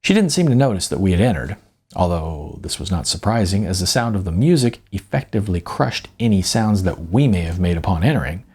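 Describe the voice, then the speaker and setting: A man with a gravelly, husky voice reads his own story as an author, phrasing it deliberately with dramatic emphasis. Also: deep voice, animated, darkish tone. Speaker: author reading own novella. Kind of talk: audiobook narration, first-person storytelling.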